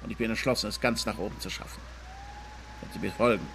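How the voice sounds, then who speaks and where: An actor playing a bullying, ambitious police inspector in a radio drama, condescending, brusque and blunt. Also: male voice, lowish voice, steady tone, fast talker, vocal fry, a bit bright, a little hiss or hum. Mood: boastful, brusque.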